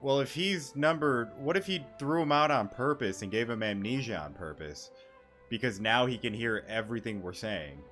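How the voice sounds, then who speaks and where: A man's voice: medium pitch, moderate pace, a bit bright, soft background music. A man giving casual, musing commentary as he plays through a game, informal and prone to swearing. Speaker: gaming streamer.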